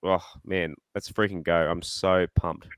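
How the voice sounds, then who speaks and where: An Australian man hosting a casual fan podcast, rambling and excitable, his voice slightly croaky. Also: sits low, a little slow, no room sound. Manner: rambling, excitable, self-deprecating. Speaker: podcast host.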